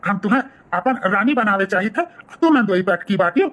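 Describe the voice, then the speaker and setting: A man's voice: sits high, lively intonation, brisk, fairly bright tone. A man voicing a flirty, scheming brother-in-law in a village comedy cartoon, sly and wheedling, with a Bhojpuri accent. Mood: flirtatious.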